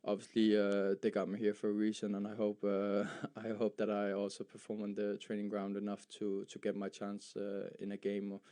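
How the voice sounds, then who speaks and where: A young Danish footballer with Danish as his first language, answering interview questions in a halting way, with many fillers and repeated words. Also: fairly low pitch, monotone, mellow. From Denmark. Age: young adult. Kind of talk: post-match style interview.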